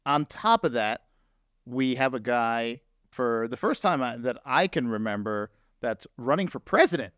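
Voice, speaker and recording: A man's voice: mid-range voice, lively intonation, unhurried, a bit bright, smooth, dry recording, clean studio sound. A man from New Jersey hosting a podcast in casual chat, talking loosely with lots of filler words. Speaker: podcast host.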